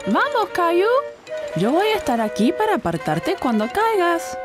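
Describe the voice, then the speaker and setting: An encouraging young cartoon mother. Her high voice swoops in a singsong way and takes its time, over background music. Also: huge pitch swings, moderate pace, full character voice. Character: gentle, encouraging young mother of a small boy. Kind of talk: children's cartoon dub acting.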